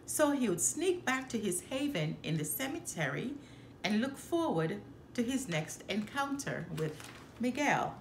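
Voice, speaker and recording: Slow, lilting woman reading a storybook. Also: on the high side. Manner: careful, expressive reading with deliberate phrasing. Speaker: storyteller, channel host. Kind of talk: children's storybook read-aloud. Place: indoor living room by a fireplace. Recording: roomy echo, some background noise.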